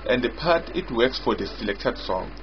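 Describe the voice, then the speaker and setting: Man demonstrating software over loud music. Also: mid-range voice, lively intonation. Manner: halting, repetitive explanations in short phrases. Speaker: software developer demonstrating own app. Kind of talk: unscripted screen-recording software walkthrough. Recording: dull lo-fi audio with loud music.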